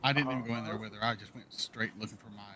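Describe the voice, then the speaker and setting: A man playing a tabletop role-playing game, talking in a quick, affable way. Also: lowish voice, steady tone, bright, forceful tone. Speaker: player. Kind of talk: casual tabletop chat.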